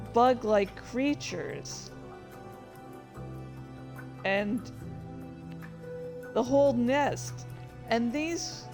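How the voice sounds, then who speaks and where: A woman telling gaming stories casually as a host, in a rambling, informal, sweary way. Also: on the high side. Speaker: host of a reading and gaming channel. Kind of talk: casual storytelling about gaming.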